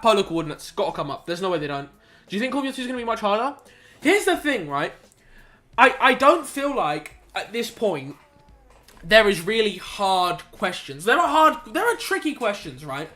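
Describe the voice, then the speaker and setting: Young male streamer, high voice, laid-back slangy chat while working problems aloud. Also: bright, forceful tone. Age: young adult. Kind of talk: casual live-stream chat while solving maths.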